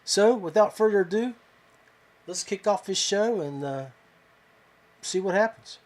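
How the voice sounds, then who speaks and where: A man from West Virginia hosting a fishing podcast in a casual, folksy, halting way. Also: high voice, expressive, a little mellow, a little hiss or hum. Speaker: podcast host.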